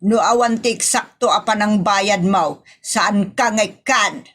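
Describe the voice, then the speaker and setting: A woman playing an exasperated, sharp-tongued wife and mother in a comedy audio drama, scolding with pointed questions. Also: mid-range voice, slow pace. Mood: stern.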